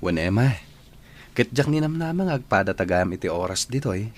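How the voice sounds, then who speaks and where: A man's voice: lowish voice, very animated, a little fast. An actor playing an earnest young man in love, with a Filipino accent, in an audio drama. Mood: friendly. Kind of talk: Ilocano-language audio drama acting.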